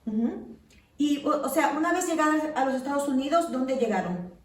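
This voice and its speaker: A woman hosting an interview, introducing her guest and asking lively questions. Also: sits high, a little fast, echoey room, distant mic. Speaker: interview host. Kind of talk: casual interview, warm introductions. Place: home of the guest.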